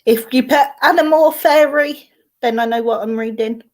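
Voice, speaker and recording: A woman's voice: high voice, normal speed, a little mellow. An English woman hosting a casual live stream, chatting with viewers by name and giving card readings. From England. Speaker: host and card reader.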